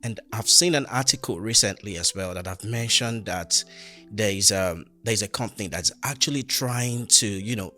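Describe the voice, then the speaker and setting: A man's voice: on the low side, moderate pace, sharp tone, soft background music. A man hosting a podcast interview, agreeing often and talking in loose, filler-heavy sentences. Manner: agreeable, lots of "you know" and "right". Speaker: podcast host.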